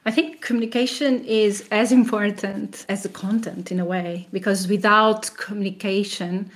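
A woman's voice: mid-range voice, fairly even intonation, moderate pace. Conversational woman researcher explaining her work. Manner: explanatory, often punctuated with "you know" and rhetorical questions. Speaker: academic researcher being interviewed.

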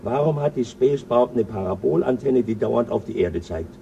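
An actor playing a crude, boastful male crewman on a spaceship, coarse and quick to flare up, in an old radio play. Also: medium pitch, creaky, warm tone, far from the mic, highs cut off, slight background noise, lightly acted. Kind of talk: 1970s radio play acting.

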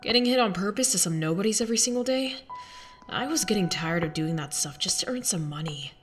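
A woman's voice: medium pitch, lively intonation, fairly quick, over music, natural voice. A woman voicing a tough, cynical, foul-mouthed young street fighter in a comic fan dub. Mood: weary, wistful. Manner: blunt, cynical.

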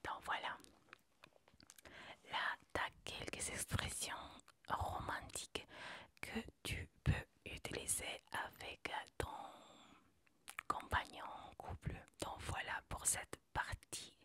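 A woman whispering softly through a lesson, slow and patient, spelling words out letter by letter. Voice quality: soft whispering. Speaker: French teacher. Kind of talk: whispered language lesson, ASMR.

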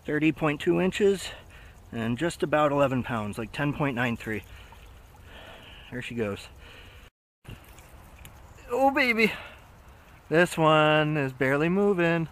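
A man's voice: on the high side, lively intonation, takes it slowly, long pauses. A man vlogging as he fishes, chatting casually in slangy exclamations to himself and a companion. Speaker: vlogger fishing from shore. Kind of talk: casual excited fishing chat. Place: outdoors on a riverbank. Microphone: action camera worn on the body. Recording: outdoor action camera with clear background noise.

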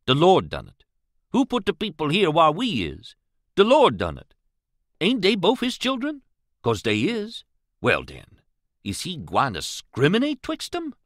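A man's voice: on the high side, huge pitch swings, measured pace, no echo, clean studio sound, voice pushed up, heavily acted. A man voicing an earnest, pious older male riverman with a drawl, pleading and questioning.

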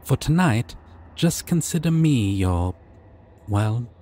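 Man playing a mellow, gentle shy dragon. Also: mid-range voice, animated, slow. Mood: bashful, playful. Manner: soft-spoken, hesitant, rambling, tender. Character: shy, gentle, dorky adult male dragon caring for a stranger. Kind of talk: ASMR fantasy roleplay.

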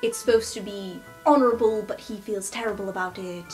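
Woman, casual improvised roleplay chat, thinking aloud, average pitch, faint music underneath. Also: fairly even intonation, fairly quick, darkish tone. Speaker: tabletop game player. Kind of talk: casual improvised character brainstorming. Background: background music.

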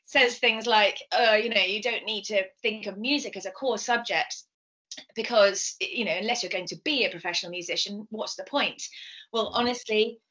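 A middle-aged British woman explaining things in an interview over a video call, speaking in an animated, emphatic, passionate way. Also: medium pitch. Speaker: composer and singer being interviewed. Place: indoors, home room. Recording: slightly dull video call audio.

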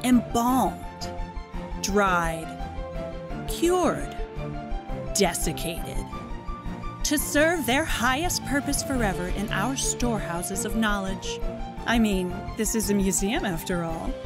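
A woman playing a grandiose, darkly sinister museum advert announcer, pompous and fond of dramatic pauses. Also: mid-range voice, animated. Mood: ominous, grand. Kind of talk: scripted parody museum advertisement voice-over. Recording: music bed under the voice.

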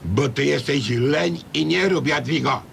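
An actor playing a sceptical, grumbling neighbour in a radio comedy, needling others in a Podlasie Polish accent. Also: male voice, measured pace, vocal fry, fairly bright tone, heavily acted. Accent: Podlasie (eastern Polish). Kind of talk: radio comedy serial acting. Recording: faint rumbling background noise.

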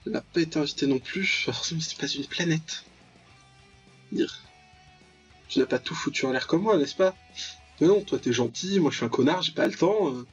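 High-voiced young man, jokey game commentary. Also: normal speed, long pauses. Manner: jokey, mock-dramatic, mutters asides and reads text aloud. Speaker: gaming video host. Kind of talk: casual playthrough commentary of a visual novel. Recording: dull lo-fi voice track over clear music.

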